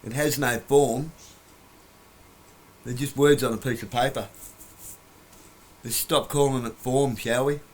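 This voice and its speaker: A man's voice: mid-range voice, fairly even intonation, measured pace, pauses often, rough recording. A man lecturing bluntly from his couch in a rambling monologue, breaking off words and laughing at himself. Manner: lecturing, blunt, rambling, trails off mid-word. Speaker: vlogger. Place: home, on a couch.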